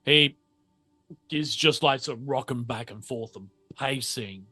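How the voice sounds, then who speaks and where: A man narrating a tabletop roleplaying game as game master, haltingly piecing the scene together aloud. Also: medium pitch, sharp tone, breathy edge, no echo, soft background music.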